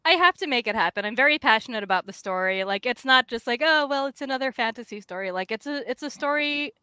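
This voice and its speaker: A woman comic artist chatting casually about her work, with an animated, self-deprecating, slangy way of talking. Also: medium pitch, smooth, dry recording. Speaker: comic artist and writer, guest.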